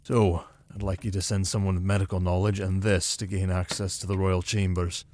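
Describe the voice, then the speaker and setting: A man's voice: low voice, little pitch movement, a little fast, darkish tone, slight background noise, close to an everyday voice. A man playing a wry young noble heir and plotter in a fantasy audio drama, speaking drily and formally. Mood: wry, conspiratorial.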